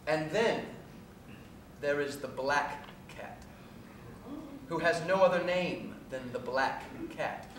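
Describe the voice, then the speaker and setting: A man gives a live dramatic reading of a first-person story on stage, in a measured, deliberate storytelling manner. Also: fairly bright tone. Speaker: reader performing a short story on stage. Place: theatre stage at a live cabaret, recorded from afar. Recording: echoey distant stage recording, faint hiss and rumble.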